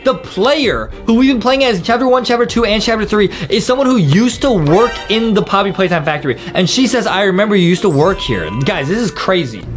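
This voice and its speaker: A young man hosting a reaction video, giving exaggerated, excited commentary addressed to viewers. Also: high-pitched, fairly quick, hardly any pauses, faint music behind.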